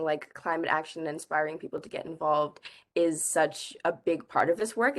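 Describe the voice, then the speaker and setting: A young woman from the Bahamas, a climate activist guest answering interview questions earnestly, with frequent "like" and "I think". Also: on the low side. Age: young adult.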